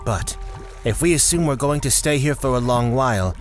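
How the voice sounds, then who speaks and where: A man playing a cautious, calculating clan leader in an audio drama, speaking in a measured, deliberate way. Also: medium pitch, animated, faint music behind, close to an everyday voice.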